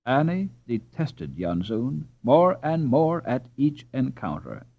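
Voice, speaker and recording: A man narrating an audiobook in an expressive, measured storytelling style with dramatic pauses. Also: medium pitch, gravelly, breathy edge, phone-quality sound.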